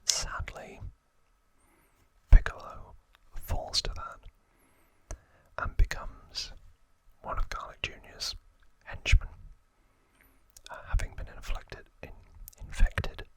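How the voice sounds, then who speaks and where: A man whispering an ASMR recap in a breathy, unvoiced voice, explaining things in a hesitant, self-correcting way. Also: takes it slowly, pauses often, bright, forceful tone, dry recording, poor audio. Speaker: host of an ASMR trivia channel.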